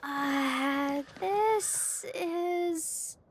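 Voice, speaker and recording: High, perky young knight girl. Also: drawn-out words, a little hiss or hum, heavily acted. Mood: breezy, unsure. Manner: breezy, hesitant, informal. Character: earnest, perky young female knight, slightly awkward. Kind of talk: scripted game voice acting.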